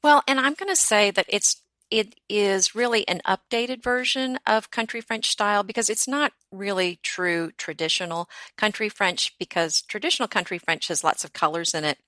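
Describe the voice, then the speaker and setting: A woman's voice: medium pitch, normal speed, sharp tone, no echo. A middle-aged woman from the United States, a decor designer, explaining her style at length in a casual podcast chat. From United States, born in Oklahoma. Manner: explains at length, self-assured and chatty. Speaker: podcast co-host, home decor designer and blogger.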